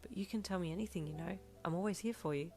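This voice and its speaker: A woman voicing a gentle, doting father in a story, soft and coaxing. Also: mid-range voice, lively intonation, moderate pace, pitched above the performer's own voice, natural voice. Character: gentle, doting young father, quietly amused.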